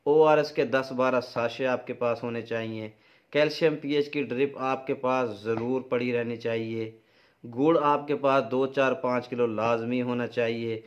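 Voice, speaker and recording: A middle-aged Pakistani-accented man speaks informally as an instructor giving practical farming advice. His voice sits at an average pitch. The recording is somewhat dull and lo-fi. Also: fairly even intonation, unhurried. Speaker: dairy farming advice presenter. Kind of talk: informal instructional explanation to camera. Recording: dull, lo-fi sound.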